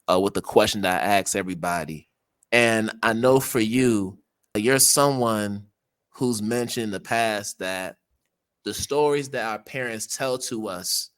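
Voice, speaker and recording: Male podcast host, lowish voice, earnest, builds up long questions. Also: a bit bright, clean tone. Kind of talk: interview questions.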